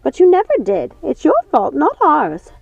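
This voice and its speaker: Woman acting an imperious, high-voiced scolding witch. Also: wildly swooping pitch, normal speed, gravelly, no room sound, heavily acted. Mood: blaming. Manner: sharp, commanding, blaming. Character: imperious, scolding wicked witch, eldest-sister bossiness. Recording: dull lo-fi sound, music underneath.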